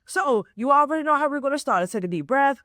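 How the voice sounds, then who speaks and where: A woman's voice: high-pitched, lively intonation, fast talker, fairly bright tone, no room sound, clean studio sound. A woman in her twenties, a life coach hosting a solo motivational podcast, talking casually and often checking in with "right?" and "okay?". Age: 20s. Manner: repeats herself to stress points.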